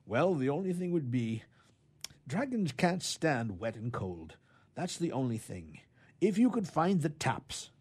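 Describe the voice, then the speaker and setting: A sleepy old champion knight from a storybook, acted out by a man. He rambles vaguely and apologetically, drowsy yet swinging widely in pitch. The voice has a husky edge. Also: big pitch swings, measured pace.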